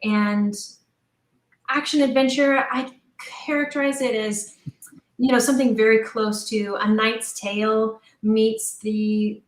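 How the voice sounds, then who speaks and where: A woman talking as an interview guest about her creative work, enthusiastic, animated and emphatic. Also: on the high side, slow. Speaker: guest, audio drama creator. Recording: roomy, echoing sound.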